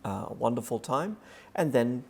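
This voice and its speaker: Male academic guest, mid-pitched and measured. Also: animated, creaky, darkish tone, breathy edge. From Venice, Italy. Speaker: historian, interview guest. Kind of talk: conversational academic interview.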